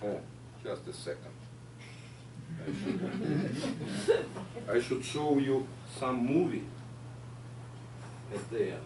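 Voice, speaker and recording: A man with a Ukrainian accent giving a science lecture, halting with many fillers. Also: mid-range voice, very animated. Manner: halting non-native phrasing with frequent fillers. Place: lecture hall. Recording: echoey hall from far off, faint noise.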